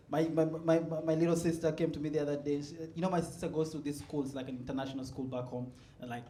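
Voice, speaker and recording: A man works a stand-up set in a comedy club. His voice sits fairly high, and he keeps chuckling as he pushes toward loud punchlines. The sound is roomy, like a live club. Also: little pitch movement, a little fast. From South Sudan. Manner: laid-back, chuckles at his own jokes, builds to loud punchlines.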